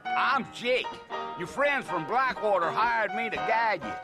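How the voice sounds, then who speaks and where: An actor playing a talkative, folksy Old West cowboy guide with an American Western drawl in a video game. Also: male voice, high voice, animated, measured pace, music in the background. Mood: friendly, eager.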